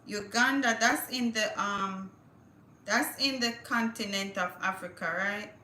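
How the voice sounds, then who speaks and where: Bright-voiced woman livestreaming. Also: mid-range voice, measured pace, echoey room, a little hiss or hum. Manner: chatty, thinks aloud, reads with stumbles. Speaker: livestream host. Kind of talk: casual livestream chat, reading news aloud.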